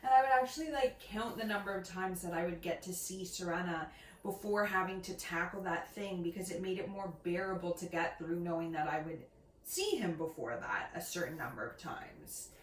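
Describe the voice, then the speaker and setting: A woman's voice: on the low side, moderate pace. A woman co-hosting a book channel, talking in a casual, chatty style. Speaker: co-host of a book review channel. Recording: echoey room with faint background noise.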